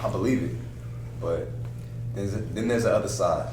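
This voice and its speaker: A man's voice: on the low side, moderate pace, a little mellow, natural voice. A man playing a frank, earnest, streetwise friend with slangy speech in a scripted table read. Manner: colloquial, slangy. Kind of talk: scripted dialogue read aloud. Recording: echoey room, distant mic, faint noise.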